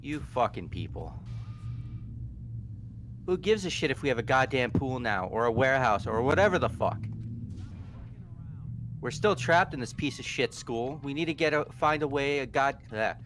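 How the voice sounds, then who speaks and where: A man's voice: medium pitch, animated, normal speed, long pauses, over music, lightly acted. A man playing an angry, hot-headed young man in a video game, blunt and full of swearing. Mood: angry, fed up. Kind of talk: amateur game character acting.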